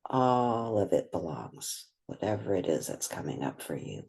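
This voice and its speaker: A woman leading a guided meditation, speaking gently and without hurry, leaving long pauses between phrases. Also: a bass voice, big pitch swings, vocal fry. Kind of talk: guided meditation, slow and spoken softly.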